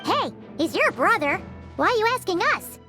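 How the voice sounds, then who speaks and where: A woman's voice: extremely high pitch, sing-song, moderate pace, full character voice. A woman voicing a small floating female companion who is bubbly and bossy and talks about herself in the third person, in video game dialogue. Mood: chirpy. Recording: game audio with soft music behind.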